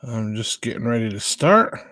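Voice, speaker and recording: A man's voice: mid-range voice, sing-song, moderate pace, sharp tone, no room sound. A man chatting casually and informally as the host of a live stream of classic radio shows.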